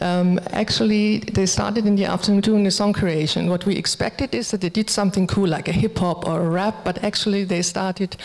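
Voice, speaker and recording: A woman giving an informal project presentation, speaking in a halting, hesitant way as a native German speaker. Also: medium pitch, a little mellow. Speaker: conference presenter. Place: hall, presenting to an audience. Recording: reverberant hall sound.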